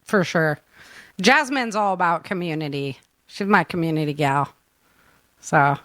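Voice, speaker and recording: A woman hosting a casual chat show in an informal, animated, laughter-prone manner. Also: sits low, measured pace, no room sound. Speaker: podcast host.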